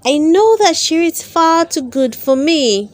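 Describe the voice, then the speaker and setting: A woman's voice: very high voice, animated, a little slow, voice pushed up. A woman voicing a brave, lovesick little toy soldier in a storybook, with a wistful, sighing manner. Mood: shy, resolute.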